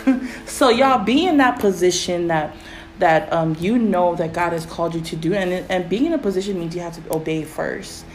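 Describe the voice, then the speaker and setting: A woman with a raspy, fairly low voice hosts a relaxed faith podcast. She rambles through fillers and turns animated when something excites her. A faint hiss sits under her voice. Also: moderate pace. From Pacific Islands. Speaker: podcast host. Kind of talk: casual faith podcast chat.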